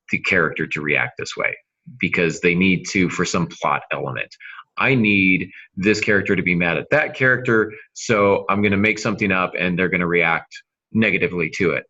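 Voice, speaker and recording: A man's voice: low voice, bright, forceful tone, highs cut off. A man co-hosting a writing podcast, explaining things in a casual way with hypothetical examples. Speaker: writing podcast co-host and novelist. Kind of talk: casual instructional discussion.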